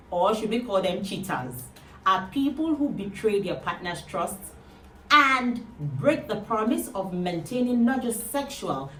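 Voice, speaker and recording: A woman's voice: mid-range voice, a bit bright. A woman with a Nigerian accent hosting a show and speaking straight to viewers. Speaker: show host. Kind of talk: presenter addressing viewers. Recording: roomy echo, distant from mic.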